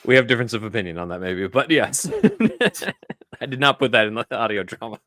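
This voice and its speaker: A man's voice: mid-range voice, lively intonation, a little fast, fairly bright tone, no echo, clean studio sound. A man hosting a podcast, chatting and explaining things in a casual film discussion. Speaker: podcast host.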